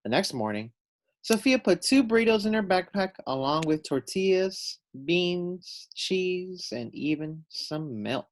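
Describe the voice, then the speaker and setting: A man reads a picture book to young children. He takes his time, swings his pitch in a lively way and explains things simply as he goes. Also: measured pace. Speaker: storybook reader. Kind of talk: children's read-aloud narration with asides.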